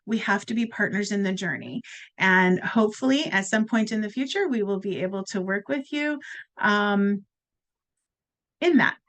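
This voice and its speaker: A woman presenting her program on a video call, giving direct, encouraging advice with lively emphasis. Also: medium pitch, moderate pace. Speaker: program presenter at a community forum.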